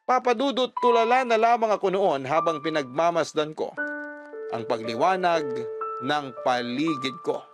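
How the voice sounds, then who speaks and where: A man's voice: a little high, lively intonation, moderate pace, over music. A man, a radio drama host, reading a listener's story as dramatic first-person narration.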